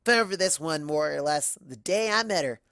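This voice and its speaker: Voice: mid-range voice, expressive, moderate pace, a bit bright, dry recording, clean studio sound. A storyteller voicing a brash, boastful young female cartoon pony, cocky, casual and emphatic. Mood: amused.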